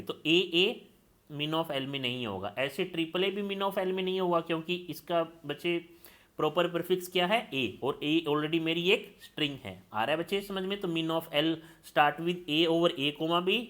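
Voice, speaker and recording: A man's voice: fairly high pitch, a bit bright. A male lecturer teaching a class step by step, asking questions and answering them himself. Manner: calls students "children" and checks they follow; steps through examples, asking and answering his own questions.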